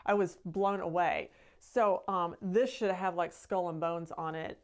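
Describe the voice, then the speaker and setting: A woman's voice: lowish voice, fairly even intonation, a little slow. A middle-aged woman painter hosting a casual live stream, talking in a chatty, rambling way. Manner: chatty, rambling, with laughs and fillers. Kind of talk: casual painting demo chat.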